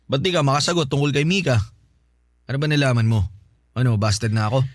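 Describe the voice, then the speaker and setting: A man's voice: mid-range voice, expressive, a little fast, dry recording, studio quality, close to an everyday voice. An actor playing a persistent, lovestruck young man in a radio drama, playful and insistent. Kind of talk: Tagalog radio drama acting.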